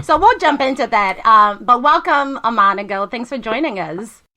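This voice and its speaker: A woman's voice: a little high, animated, moderate pace, sharp tone, a little hiss or hum. A woman hosting a casual interview, asking questions in a conversational way. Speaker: podcast host.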